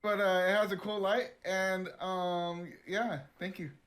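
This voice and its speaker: A man's voice: high voice, little pitch movement, measured pace, fairly bright tone. A man co-hosting a podcast, reading a sponsor spot in a casual, joking, conversational way. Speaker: podcast co-host. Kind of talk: casual, jokey ad read.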